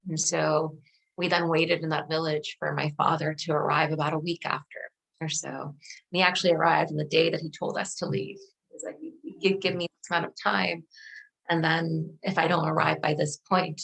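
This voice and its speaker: Interview guest: middle-aged woman, native Dari speaker, low-pitched voice, recounting personal history thoughtfully. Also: little pitch movement, moderate pace, vocal fry, a bit bright, poor audio. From Afghanistan and Pakistan.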